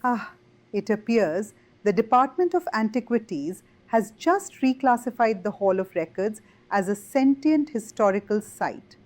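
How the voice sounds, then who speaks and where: Casting: actress for unflappable female bureaucrat in fantasy audio story; higher register, animated inflection, professional, politely unhelpful. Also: measured pace. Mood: officious, unruffled. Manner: crisp, professional, politely obstructive. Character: unflappable, officious female magical bureaucrat clerk. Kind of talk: scripted character dialogue in audio story.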